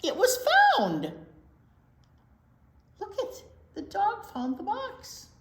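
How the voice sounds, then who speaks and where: A woman reading a picture book aloud to young children as a storytime teacher, expressive and emphatic, explaining things simply. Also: very high-pitched, slow. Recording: roomy echo with faint noise.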